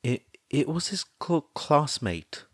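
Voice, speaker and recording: A man voicing a gentle, tearful mother who stammers meekly, raised above his usual voice, in a dry recording. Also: on the high side, very animated, slow, no echo, pitched above the performer's own voice. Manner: meek stammering.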